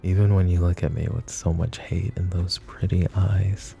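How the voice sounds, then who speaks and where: A man playing a gravelly, teasing mafia boss. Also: deep voice, flat delivery, normal speed, over music. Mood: flirtatious. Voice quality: gravelly, teasing, soft-spoken. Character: smug flirtatious male mafia boss. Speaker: voice actor in roleplay audio. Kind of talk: ASMR roleplay acting.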